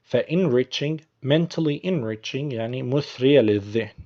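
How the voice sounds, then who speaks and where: A man's voice: medium pitch, measured pace, warm tone, muffled recording. A man with an Egyptian Arabic accent, patiently explaining English phrases word by word as a teacher.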